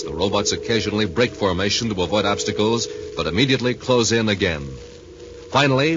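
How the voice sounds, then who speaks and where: A man narrating a 1950s radio serial in a dramatic, suspenseful manner over music, on a muffled old recording. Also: on the low side.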